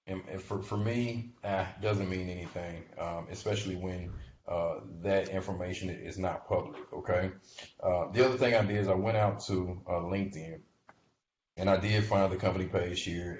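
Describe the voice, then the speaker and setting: A man with a deep voice gives informal investment commentary, explaining things in a halting, conversational manner. He regularly checks in with short tag questions. The recording is dull and echoey, as if in a bare room. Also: darkish tone. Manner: halting, conversational, often checks in with "right?" and "okay?". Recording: muffled, noisy audio in echoey room.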